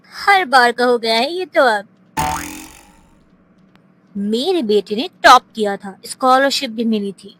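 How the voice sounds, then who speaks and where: A woman playing a sharp-tongued, needling female relative in an animated moral story, with theatrical, pointed delivery. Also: on the high side, rough recording.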